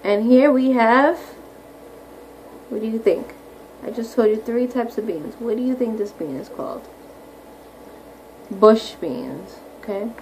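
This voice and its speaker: A woman's voice: on the high side, a little slow, pauses often, a little mellow, rough recording. A woman primary school teacher reading aloud to young children, explaining simply and often asking questions. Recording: clearly audible background noise.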